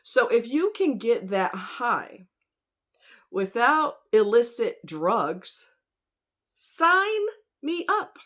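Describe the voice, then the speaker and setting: A woman's voice: fairly high pitch, big pitch swings, drawn-out words, pauses often, a bit bright. A woman talking casually in a rambling opinion vlog, conversational and informal, with slang and rhetorical questions. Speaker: vlogger.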